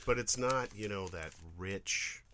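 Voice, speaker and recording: A man's voice: on the low side, slow pace, pushed and bright. A man co-hosting a radio show who banters with his partner in a dry, teasing way. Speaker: radio co-host. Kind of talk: casual on-air banter.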